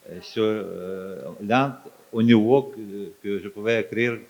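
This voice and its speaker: A man from Ukraine, a native Ukrainian speaker with an Eastern European accent, answering as a guest author on stage in halting, fragmented phrases. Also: fairly low pitch, creaky, a little mellow. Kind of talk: onstage interview answers. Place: live festival stage. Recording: dull, muffled live recording.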